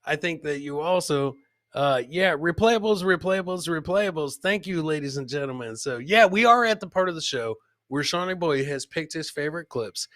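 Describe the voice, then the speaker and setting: A man's voice: on the high side, expressive, normal speed, fairly bright tone, no echo, studio quality. A man hosting a casual comedy show, commenting on clips and often repeating phrases for emphasis. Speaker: podcast host.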